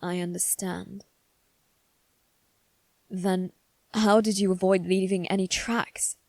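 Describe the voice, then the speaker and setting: A woman voicing a guarded, haughty young noble boy in a scripted ASMR roleplay, with measured, formal phrasing. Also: mid-range voice, flat delivery, vocal fry, darkish tone, dry recording. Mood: thoughtful, subdued. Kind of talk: ASMR roleplay, one-sided scripted dialogue.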